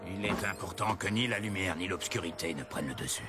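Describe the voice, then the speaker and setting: An actor playing a wise, grave old blacksmith in a cartoon, speaking in a slow, philosophical manner. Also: male voice, low voice, over loud music, close to an everyday voice. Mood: solemn, wise. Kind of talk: French cartoon film dub.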